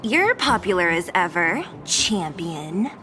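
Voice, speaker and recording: A woman's voice: lowish voice, very animated, slow, over music. An actress playing a tough, blunt young woman in a video game, casual and dryly reluctant. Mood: dry, unimpressed. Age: young adult. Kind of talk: video game dialogue acting.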